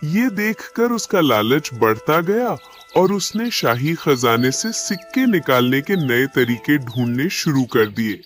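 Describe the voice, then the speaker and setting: A man narrating a scripted animated animal story as a storyteller. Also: on the high side, unhurried, hardly any pauses, soft background music.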